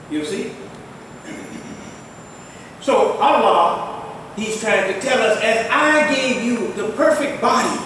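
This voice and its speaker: Older male imam, high voice, emphatic sermon delivery, non-native Arabic, recorded far off in a reverberant mosque hall. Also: a bit bright. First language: not Arabic. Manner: emphatic preaching with rising exclamations. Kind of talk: Friday sermon. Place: large mosque prayer hall. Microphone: distant mic in a mosque. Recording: echoey hall, far from the mic, faint rumble.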